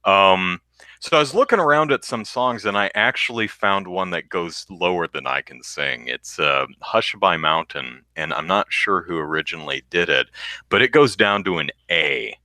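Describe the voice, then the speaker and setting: A man with a deep bass voice chats loosely on a podcast, stumbling through restarts as he goes. His tone swings widely and has a strikingly bright, forward edge. The sound is dry and close. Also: animated, moderate pace, no echo. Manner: rambling, self-interrupting with restarts, sings low demo notes. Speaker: podcast co-host. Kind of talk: casual chat.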